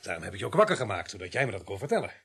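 Gruff bossy cop sergeant, sarcastic, pitch jumps around a lot, radio drama. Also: male voice, big pitch swings, racing, a bit bright, no echo, faint music behind, exaggerated voice. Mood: irritated, sarcastic. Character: gruff, wily, sardonic male police sergeant at night duty. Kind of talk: radio play acting.

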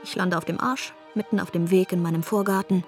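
A woman's voice: fairly low pitch, steady tone, brisk, soft background music, natural voice. A woman voicing a dogged, blunt narrator. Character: determined, sweating woman tearing down a wall. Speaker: first-person narrator. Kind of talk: radio drama narration.

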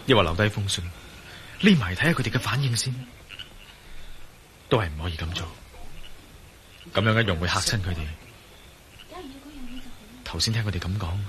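An actor playing a concerned, deliberating hero who muses to himself in a Cantonese radio drama, with a Hong Kong accent. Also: male voice, on the low side, natural voice. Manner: musing to himself.

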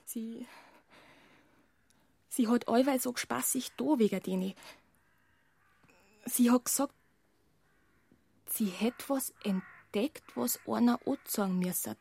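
A woman's voice: a little high, takes it slowly, creaky, long pauses, warm tone, breathy edge, heavily acted. A female performer playing a shy, naive village girl in a radio crime drama, with a Bavarian accent and hesitant, childlike delivery. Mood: timid, secretive.

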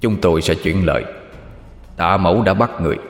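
Man doing a deep, hushed ghost from hell. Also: a little fast, lightly acted. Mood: deferential. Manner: humble, formal. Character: solemn male ghost soul-catcher from hell. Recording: reverb with background music.

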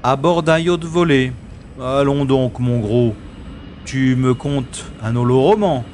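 A sardonic male special agent played by an actor in a science-fiction radio play. He speaks slowly and deliberately, with theatrical mockery, over clearly audible vehicle ambience. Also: mid-range voice, darkish tone, slight background noise, close to an everyday voice. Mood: mocking, commanding. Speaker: lead actor and narrator of audio drama. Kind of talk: science-fiction audio drama acting with inner-monologue narration.

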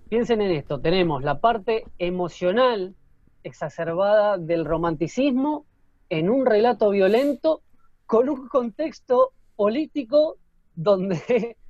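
A man's voice: sits high, a little mellow, no echo, dull audio. A man with an Argentine accent co-hosting an online show in a casual chatty style, addressing the audience. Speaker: co-host.

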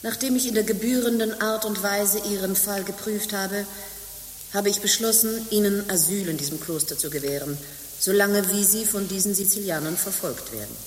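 An actress playing a worldly, stern abbess in a German radio play, speaking formally and theatrically. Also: female voice, medium pitch, normal speed, a little hiss or hum, close to an everyday voice. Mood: formal, gracious. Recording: long reverb, music behind.

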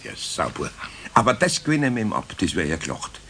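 A man with a Bavarian accent playing a gruff but kindly older master carpenter in a radio drama, with a husky voice. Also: deep voice, big pitch swings, fairly quick, bright, forceful tone, slight background noise, exaggerated voice. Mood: wry, stern. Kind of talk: 1970s German radio drama acting.

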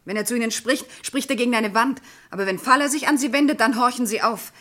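An anxious, loyal young lady of classic radio drama. Her voice sits a little high, and she speaks earnestly, pleading her case. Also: fast talker, a bit bright. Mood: worried, insistent. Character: anxious, earnest, loyal woman defending an accused man. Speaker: actor in crime radio play. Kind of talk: classic German crime radio drama acting.

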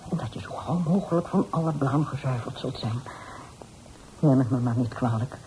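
A woman's voice: extremely low pitch, normal speed. An actress playing a worried, dignified middle-aged mother in a period radio drama, earnest and formal. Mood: tender, contrite. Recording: faintly noisy old radio play recording.

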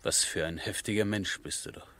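An actor playing a sober, dutiful army major who speaks in measured, weighty phrases in a 1970s German radio drama. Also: male voice, low-pitched, fairly even intonation, creaky, a bit bright. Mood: concerned.

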